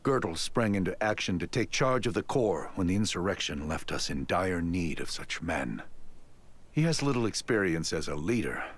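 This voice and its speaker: Deep, husky, grave knight captain. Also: male voice, sing-song, moderate pace. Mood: grave, earnest. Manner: formal, archaic courtly phrasing. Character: grave, formal knight captain loyal to his kingdom. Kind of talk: scripted video game dialogue.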